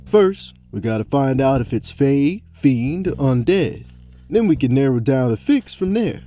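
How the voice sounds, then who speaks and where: An actor playing a laid-back adventuring priest in a fantasy audio drama, casual and colloquial. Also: male voice, mid-range voice, very animated, measured pace, dark tone, soft background music. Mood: practical.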